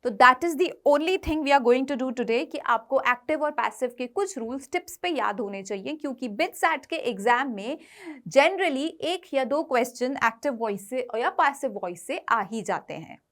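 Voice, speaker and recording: A woman's voice: high-pitched, lively intonation, normal speed, fairly bright tone. A woman with an Indian accent teaching an exam-prep lesson in an instructive, emphatic way, repeating points and checking that students follow. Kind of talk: classroom-style lesson, mixing explanation with read-out tips.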